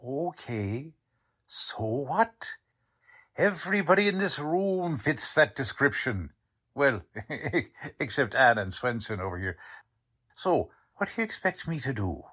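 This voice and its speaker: An actor playing a blunt, slangy, wisecracking police lieutenant in a 1940s style radio drama. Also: male voice, mid-range voice, very animated, unhurried, a bit bright, husky, full character voice. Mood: skeptical.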